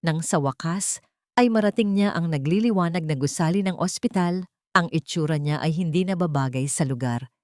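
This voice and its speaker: A Filipino woman storyteller with a fairly low voice. She delivers scripted, dramatic narration with a Filipino accent. The sound is dry, with no room around it. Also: normal speed, darkish tone, dry recording.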